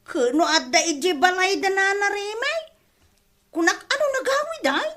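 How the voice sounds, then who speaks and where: A woman's voice: very high-pitched, animated, normal speed, fairly bright tone, slight background noise. A woman acting a gossipy village neighbour in an Ilocano comedy radio drama, with a Filipino Ilocano accent. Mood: gossiping.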